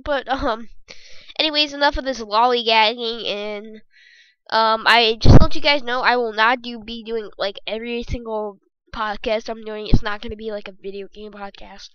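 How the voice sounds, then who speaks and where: Young host, squeaky and bright, chatty and self-correcting; dry close bedroom sound, slightly dull audio. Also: female voice, steady tone, moderate pace, smooth, no echo. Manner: rambling, jokey, self-correcting. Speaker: podcast host. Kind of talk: casual solo gaming podcast.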